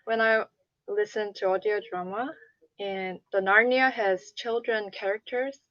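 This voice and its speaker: A woman on a talk panel, giving her view in a measured, matter-of-fact way. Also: mid-range voice, steady tone, fairly bright tone, dry recording, highs cut off. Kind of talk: casual discussion.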